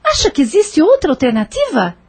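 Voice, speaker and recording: A woman's voice: high voice, wildly swooping pitch, fairly quick, full character voice. A woman playing a blunt, sarcastic, worldly brothel landlady in an audio drama, with a scolding manner. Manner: sharp-tongued, sarcastic, scolding.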